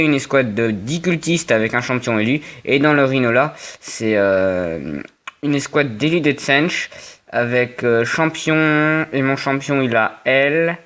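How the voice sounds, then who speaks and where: A man's voice: mid-range voice, fairly bright tone, clear, resonant tone. A young man with a cold, sniffling a little as he casually narrates a tabletop wargame battle report, hesitating often with fillers and restarts.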